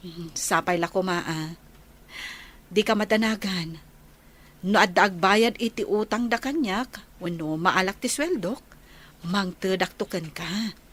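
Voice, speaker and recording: An actress playing a caring, apologetic older sister in a radio drama. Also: female voice, mid-range voice, measured pace, fairly bright tone. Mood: apologetic, reassuring. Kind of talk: Ilocano radio drama dialogue.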